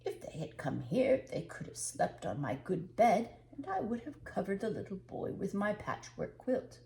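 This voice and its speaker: Older woman as a wistful, gentle old shoemaker. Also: medium pitch, big pitch swings, moderate pace, vocal fry. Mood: wistful, tender. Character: kindly, lonely old Russian village shoemaker, widowed.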